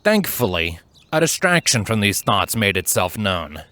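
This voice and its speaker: A man with a fairly low voice reads as a storyteller. His delivery is measured and articulate. He narrates scripted prose with expression. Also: big pitch swings, sharp tone, no echo. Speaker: fan fiction narrator and voice actor.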